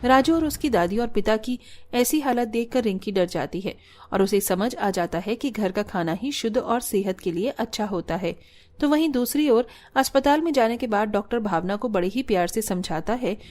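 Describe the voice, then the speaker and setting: A woman reading scripted narration for an animated family story. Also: medium pitch, moderate pace.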